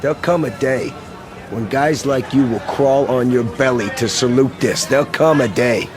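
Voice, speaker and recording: A man's voice: mid-range voice, moderate pace, music in the background, natural voice. An actor playing a menacing but loyal male extremist follower in a radio drama, speaking in grim vows. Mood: resentful threat. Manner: grim, vowing.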